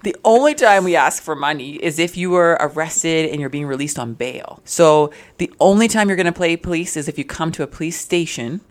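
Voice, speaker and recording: A woman from Zambia in her thirties, with a notably low voice. She talks as an interview guest, friendly and animated, telling stories from her work as a police officer. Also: fairly quick, few pauses. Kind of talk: conversational interview.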